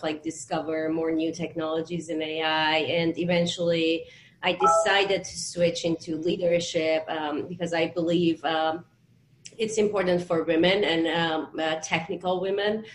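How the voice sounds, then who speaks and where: A woman's voice: deep voice, slight background noise, low-quality recording. A woman from Iran speaking as a tech leader on a panel, thoughtful, with many fillers. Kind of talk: panel discussion.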